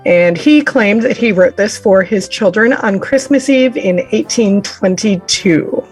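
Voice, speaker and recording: A woman podcast host presenting and reading holiday verse in an expressive storytelling style. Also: medium pitch, unhurried, faint music behind. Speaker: teacher.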